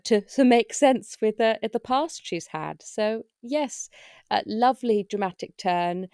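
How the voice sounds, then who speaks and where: A woman's voice: mid-range voice, a little mellow, no echo, lightly acted. A woman as a theatrical luvvie actress, dry close sound. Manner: affected, hesitant, searching for words. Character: gushing, theatrical luvvie actress being interviewed. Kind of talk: mock documentary interview.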